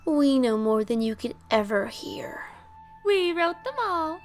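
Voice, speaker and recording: Ancient, eerie witches, played by a woman, chanting spells in a high, drawn-out voice. They speak in cryptic riddles, slow and ominous, with faint music underneath. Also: big pitch swings, exaggerated voice. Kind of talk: fan audio drama acting.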